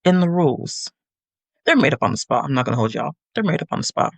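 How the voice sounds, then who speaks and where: Sassy young woman podcast host, gravelly fry in her voice, dry close sound, teasing the audience. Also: extremely low pitch, big pitch swings, fast, no room sound. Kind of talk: casual monologue to listeners.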